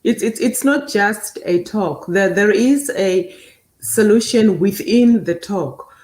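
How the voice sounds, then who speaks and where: Slow halting woman in an echoey room. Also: medium pitch, a little mellow. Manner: halting, repeats words. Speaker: guest. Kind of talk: panel discussion.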